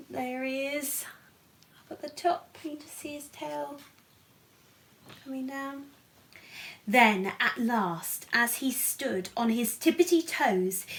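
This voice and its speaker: A woman reads a picture book aloud in a bright voice at a slow, careful pace. She is expressive and playful, speaking straight to young listeners as a storyteller. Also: fairly high pitch. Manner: animated, asks young listeners questions with playful asides.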